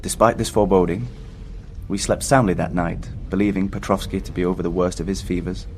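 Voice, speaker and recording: An actor playing a haunted seafarer recounting a doomed voyage in solemn, scripted first-person horror narration. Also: male voice, low voice, steady tone, a little fast, slight background noise, lightly acted. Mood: sombre. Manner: solemn, literary storytelling.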